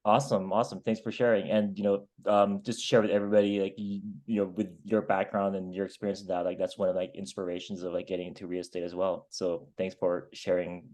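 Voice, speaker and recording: Mellow low-voiced male host. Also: little pitch movement, fast talker, few pauses. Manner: friendly, upbeat, lots of "like" and backchannels. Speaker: interview host. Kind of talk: casual interview hosting.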